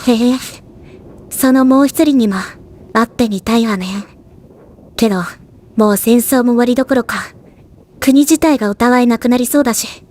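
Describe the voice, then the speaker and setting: A woman voicing a stoic, battle-hardened female death god in an anime drama, with measured, composed dramatic delivery. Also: on the high side, darkish tone, close to an everyday voice. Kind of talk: anime voice drama, scripted narration and dialogue.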